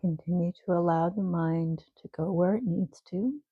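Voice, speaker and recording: A woman leading a guided sleep meditation, giving gentle, soothing instructions. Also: on the low side, moderate pace, husky, dry recording, narrow, tinny audio.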